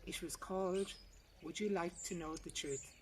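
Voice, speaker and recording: A woman with a fairly low voice reads a literary short story in measured, careful phrases. She sounds some way from the microphone, and birdsong and outdoor noise are clearly heard behind her. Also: a little mellow, distant mic. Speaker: reader.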